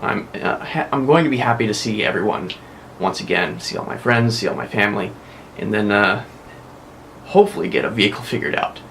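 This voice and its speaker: A man's voice: lowish voice, lively intonation, fairly quick, creaky, fairly bright tone, a little hiss or hum. A young American man hosting a casual weekly vlog, chatting and rambling with frequent self-corrections. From United States. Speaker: vlog host.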